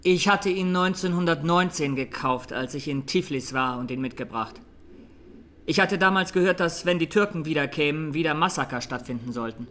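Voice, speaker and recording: A male actor as a young student on trial in a radio drama, with a highish, clearly forward voice. He answers formally and deliberately, an earnest, traumatised defendant. Background noise and birdsong sit behind his testimony. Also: fairly bright tone, lightly acted. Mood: grave, matter-of-fact.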